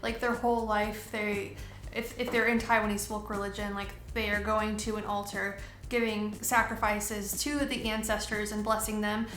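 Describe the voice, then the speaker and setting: A woman's voice: medium pitch, moderate pace, echoey room, slight background noise. A young woman missionary chatting casually in a Q and A, with lots of "like" fillers and easy laughs. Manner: relaxed, many "like" fillers, laughs easily. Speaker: missionary in Taiwan. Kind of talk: casual Q and A chat.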